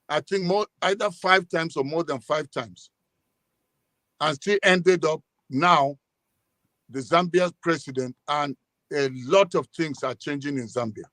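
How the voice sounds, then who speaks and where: A high-voiced man with a Nigerian accent gives political commentary in a live discussion. He speaks emphatically, with stops and repetitions, and his sound is close and dry. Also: unhurried, a bit bright, no room sound.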